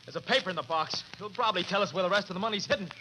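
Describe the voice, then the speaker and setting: An actor playing a desperate, bullying young killer and thief in 1940s radio drama style, barking and insistent. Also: male voice, high voice, very fast, natural voice. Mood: agitated, insistent. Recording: noisy old 1940s radio recording.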